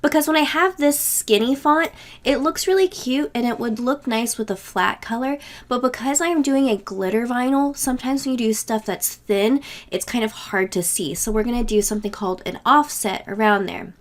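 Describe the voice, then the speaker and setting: A woman in her thirties, a craft tutorial host getting over a cold, explaining software steps in a casual, step-by-step way at her desk. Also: a little high, moderate pace. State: voice worn from a cold. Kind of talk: screen-recorded software tutorial.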